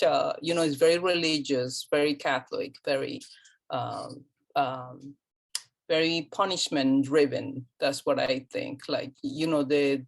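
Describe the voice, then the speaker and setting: A woman from Colombia, talking as an interview guest, pausing often with ums and restarts. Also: low voice, vocal fry. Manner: hesitant, many ums and restarts. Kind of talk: casual interview conversation.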